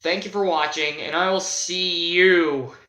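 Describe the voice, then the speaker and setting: A young man telling a casual, unscripted gaming story in a halting, self-deprecating way, stressing key words as he goes. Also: a little high, fairly even intonation, pushed and bright. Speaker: video creator telling a personal gaming story. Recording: roomy, echoey home recording.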